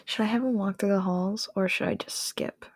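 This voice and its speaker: A woman in an improvised intimate roleplay, talking softly and affectionately to a partner in a rambling, often breathy, near-whispered way. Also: mid-range voice, fairly even intonation, brisk, dry recording, clean studio sound. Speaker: audio roleplay storyteller.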